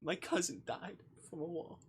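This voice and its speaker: A man livestreaming a visual novel, switching between jokey chat and reading the text aloud in a playful, expressive way. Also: sits high, moderate pace, slight background noise. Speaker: live streamer playing a visual novel.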